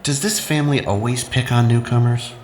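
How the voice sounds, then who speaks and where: A man's voice: medium pitch, steady tone, brisk, a little mellow, close to an everyday voice. A man playing a defiant, sardonic scientist with a dry, sarcastic delivery in an audio drama. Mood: impatient. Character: sardonic, defiant male scientist, reluctant newcomer. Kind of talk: scripted audio drama acting. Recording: echoey room with faint noise.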